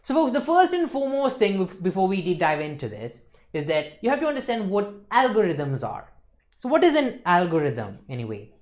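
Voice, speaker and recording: Young male lecturer, very lively intonation. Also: high-pitched, brisk. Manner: emphatic, explanatory, repeats checking phrases. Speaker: instructor. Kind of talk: exam revision lecture. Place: indoor lecture studio with slides.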